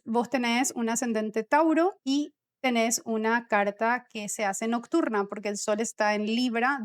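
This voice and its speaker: A woman with a high, smooth voice and a Rioplatense Spanish accent. She speaks as a podcast host and astrologer, explaining and asking questions conversationally. Her tone comes through cleanly and with resonance. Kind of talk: conversational astrology reading and interview.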